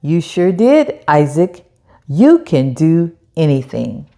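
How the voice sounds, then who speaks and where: A woman's voice: low-pitched, very animated, takes it slowly, mellow, pitched below the performer's own voice, exaggerated voice. A patient storybook mom voiced by a woman reader, coaxing and reassuring. She speaks unhurriedly, with plenty of rise and fall in her voice. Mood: encouraging. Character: patient, encouraging storybook mother.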